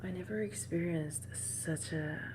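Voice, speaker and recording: Soft-spoken woman, slow. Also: on the low side. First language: Italian. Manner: soft-spoken, drifting into whispers. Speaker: ASMR streamer. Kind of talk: soft-spoken live-stream chat. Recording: loud steady background noise.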